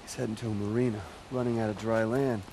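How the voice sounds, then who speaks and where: A man's voice: on the low side, fairly even intonation, quick pace, dark tone, a little hiss or hum, close to an everyday voice. An actor playing a cocky, vengeful young male antihero in a video game. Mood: brooding, determined. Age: young adult.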